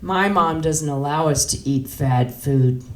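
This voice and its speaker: A woman's voice: low voice, a little slow. A woman voicing a self-righteous teenage boy working a shop counter, stiff and judgmental, in a comic story. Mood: prim, judgmental. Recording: roomy, distant mic, faint noise.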